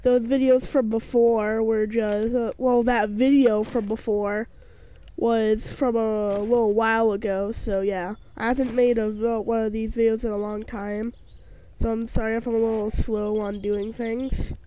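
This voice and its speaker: A woman's voice: fairly high pitch, little pitch movement, unhurried, mellow, highs cut off. A woman talking over her survival game while sick, rambling with lots of fillers and restarts. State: sick, voice sounds off. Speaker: gaming channel host. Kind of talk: casual let's-play commentary.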